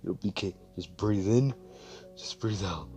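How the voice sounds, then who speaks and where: A man's voice: on the low side, unhurried, dark tone, faint music behind. A man voicing a desperate, grieving teenage boy who pleads and repeats himself, close to tears. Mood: pleading.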